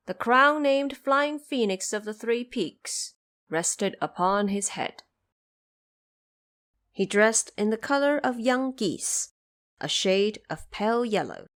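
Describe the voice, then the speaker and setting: A woman narrator reading scripted storytelling of a classic epic in a formal, measured and expressive way. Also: mid-range voice.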